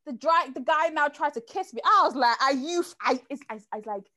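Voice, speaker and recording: A woman's voice: high voice, fairly quick. Chatty woman acting out her stories. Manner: lively, acts out quotes. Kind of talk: casual chat, storytelling.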